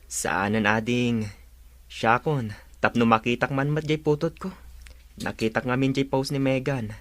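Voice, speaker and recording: A man's voice: mid-range voice, unhurried, dry recording, close to an everyday voice. Man acting a subdued, sheepish older brother. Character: sheepish, evasive older brother, an unfaithful father. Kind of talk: Ilocano audio drama acting.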